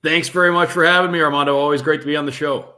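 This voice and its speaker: A man's voice: a little high, steady tone, racing, bright, forceful tone. A man who works as a play-by-play broadcaster, giving fluent, emphatic sports analysis as an interview guest and building points through repetition.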